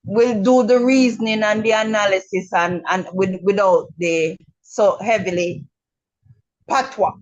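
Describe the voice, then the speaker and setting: A middle-aged woman with a Jamaican accent talks slowly and frankly, often doubling her words and filling gaps with ums. She is speaking over a video call, and the sound is slightly muffled and dull. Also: medium pitch, fairly even intonation. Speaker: webinar attendee. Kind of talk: casual remarks on a video call.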